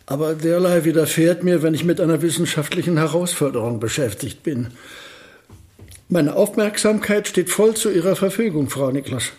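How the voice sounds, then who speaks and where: High-voiced, courteous old physicist played by a man. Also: moderate pace, darkish tone, reverberant, natural voice. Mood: courteous, absorbed. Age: older. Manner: polite, pedantic, formal phrasing. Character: elderly genius physicist, courteous, absent-minded, self-satisfied. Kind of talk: German radio play acting.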